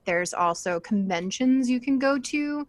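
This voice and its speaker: Woman, panel host, animated, chatty, jokey, casual, dry recording. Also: fairly high pitch, unhurried, no echo.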